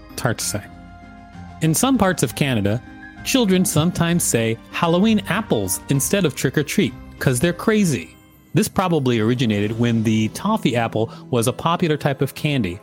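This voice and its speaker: A male podcast host reading an article aloud in an irreverent, teasing way. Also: medium pitch.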